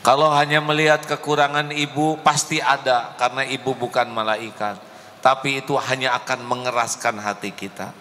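A middle-aged man preaching in a large mosque into a handheld microphone, speaking in a deliberate, emotive way to the congregation. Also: mid-range voice, fairly even intonation, pushed and bright. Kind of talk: mosque sermon. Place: large mosque hall, indoors. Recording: echoey hall with faint ambience.

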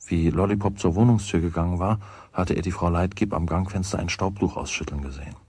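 A man's voice: low voice, fairly quick, darkish tone, breathy edge, no room sound. A man narrating a children's radio play as its scripted storyteller. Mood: measured.

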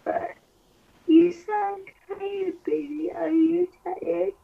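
A woman from the United States in an intimate couple roleplay, cooing in a drawn-out, babyish way on a lo-fi recording. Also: extremely high pitch, steady tone, vocal fry. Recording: muffled playback of a lo-fi video.

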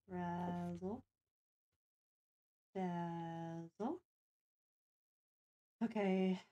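A woman hosting a livestream, chatting casually and thinking aloud in a deliberate way. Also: medium pitch, a little mellow. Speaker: stream host.

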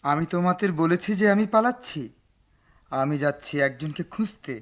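A man's voice: on the high side, lively intonation, moderate pace, lightly acted. A man with a Bangladeshi accent acting an enigmatic, teasing male traveller in a radio drama, in a cryptic theatrical manner. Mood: cryptic, amused. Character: enigmatic teasing male passenger at a deserted station.

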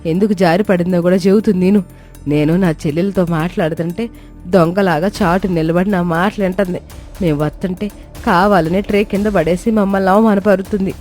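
A woman's voice: fairly low pitch, fairly even intonation, a little fast, a little mellow, lightly acted. A woman voicing a bossy, cruel older mother-in-law in a dramatised moral story, sneering and commanding. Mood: indignant, accusing. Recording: faint music behind.

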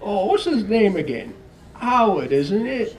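A man's voice: sits high, very animated, unhurried, far from the mic, voice pushed up, poor audio. A man voicing a nervous, fussy middle-aged husband who stammers with fillers, in a read-aloud storybook. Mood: forced casual.

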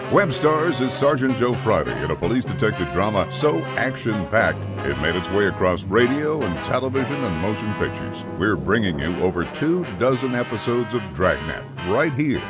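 A man's voice: moderate pace, hardly any pauses. A man voicing a punchy promotional announcement. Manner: punchy, lively pitch. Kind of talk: promotional voice-over. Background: loud music.

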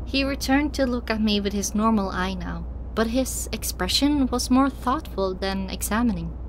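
A woman's voice: medium pitch, moderate pace, a little hiss or hum. A woman reading first-person dramatic audiobook narration as a scripted story. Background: music.

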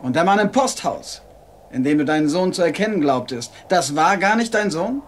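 A coolly commanding rebel leader played by a man in a radio play. His voice sits fairly high for a man and he speaks in measured, courteous menace, with clear noise behind him. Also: lively intonation, close to an everyday voice. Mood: coldly probing. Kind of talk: German audio drama acting.

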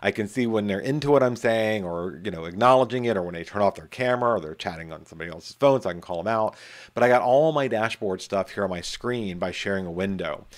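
A man's voice: lowish voice, quick pace. A middle-aged man giving a casual, chatty screen-share tutorial, talking from a home office into a webcam and leaning on emphatic repeated words. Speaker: instructor.

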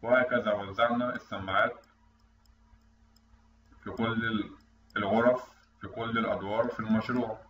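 Egyptian guy doing a tutorial, kinda low voice, dull sound with a bit of noise. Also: steady tone, normal speed, long pauses, fairly bright tone. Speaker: online instructor. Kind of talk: tutorial explanation over screen recording. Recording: roomy, distant mic, dull, faint noise.

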